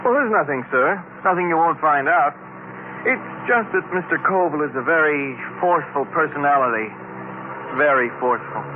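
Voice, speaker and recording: Man acting higher-voiced deferential aide, old radio. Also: expressive, normal speed, close to an everyday voice. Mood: evasive, careful. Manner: polite, careful. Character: deferential, tactful male chauffeur and office aide. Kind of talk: 1950s radio drama acting. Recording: tinny, noisy old radio recording.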